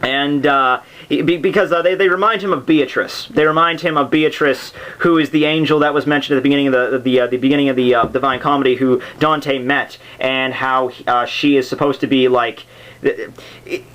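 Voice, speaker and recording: A man's voice: mid-range voice, fairly even intonation, brisk, fairly bright tone, low-quality recording. A man giving a casual, unscripted explanation as host, rambling and dropping jokey asides. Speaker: host of a literature summary series. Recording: music playing under the voice. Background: background soundtrack music.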